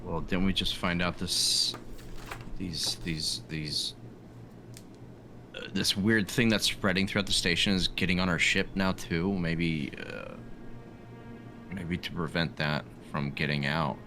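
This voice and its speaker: A man with a deep voice talks casually as a player in a tabletop game. His manner is tentative and questioning, and there is clear noise behind him. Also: unhurried, pauses often. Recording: noisy room with steady background ambience.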